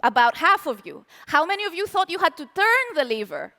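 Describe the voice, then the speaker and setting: A woman with a very high, lively voice speaks from a conference stage, her pitch bouncing up and down as she teases the crowd and quizzes them. Her words ring out with a long hall reverb behind them. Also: fairly quick. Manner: animated, playful, asks the audience questions. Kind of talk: live tech conference talk. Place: conference hall with live audience.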